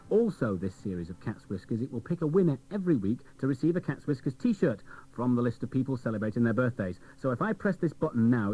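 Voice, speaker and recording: A man's voice: medium pitch, dark tone, husky. A man presenting a children's radio show, chatting directly to the listeners. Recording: dull old cassette radio recording.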